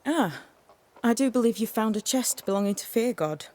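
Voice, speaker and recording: A woman playing an eccentric seer who talks with the dead in a video game, creaky and measured in delivery. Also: a little high, lively intonation, a little mellow, natural voice. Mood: intrigued. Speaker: game voice actor. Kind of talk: dramatic game dialogue.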